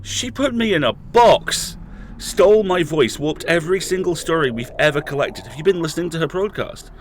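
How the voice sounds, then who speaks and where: A man acts as a furious man freed from long captivity, his voice pitched a little high. He speaks bitterly and emphatically, in the dramatic style of horror audio fiction. A faint noise sits behind him. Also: lively intonation, moderate pace, close to an everyday voice. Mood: defensive, outraged.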